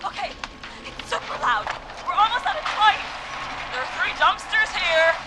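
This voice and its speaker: A woman's voice: very high-pitched, expressive, moderate pace, loud music behind the voice. An actress playing a frightened, desperate woman in a video game, urgent and pleading. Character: frightened, desperate woman working through a noisy plant. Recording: loud machinery noise, distant caller.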